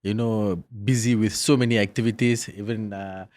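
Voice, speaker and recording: A man's voice: lowish voice, steady tone, normal speed, a little mellow. A man hosting a podcast interview, chatty and friendly, filling his questions with "you know" and "like". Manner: friendly, casual, many fillers like "you know" and "like". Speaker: podcast host. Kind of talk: casual interview.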